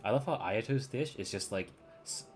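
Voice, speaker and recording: A man's voice: on the low side, animated, moderate pace, darkish tone, a little hiss or hum. A man chatting casually as he streams a video game. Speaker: live streamer. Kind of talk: casual gaming stream chat. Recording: game music behind voice. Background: video game music and dialogue.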